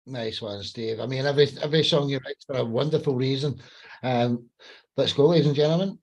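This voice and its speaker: A man's voice: mid-range voice, fairly quick, a little mellow, highs cut off. A man hosting a podcast in a casual, upbeat, effusive style full of matey slang. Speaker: podcast host.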